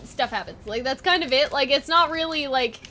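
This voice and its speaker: Bright, high-pitched woman chatting casually. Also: a little fast, slight background noise. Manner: rambling, informal, occasionally sweary. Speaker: online video host. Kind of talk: casual unscripted brainstorming chat.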